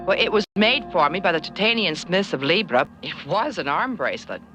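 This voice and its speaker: An actress playing a flustered young woman nurse in an animated series, speaking hesitantly with stammers. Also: fairly low pitch, a little fast, soft background music. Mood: alarmed.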